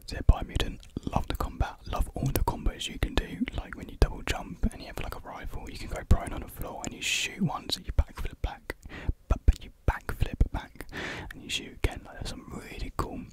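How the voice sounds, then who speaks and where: A young man whispers softly and breathily in a casual, rambling ASMR chat, very close to a desk condenser microphone. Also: a little fast, hardly any pauses. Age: young adult. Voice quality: soft breathy unvoiced whisper. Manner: rambling, informal. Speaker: ASMR creator. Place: small indoor room with painted block walls. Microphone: large desk condenser mic close to the mouth.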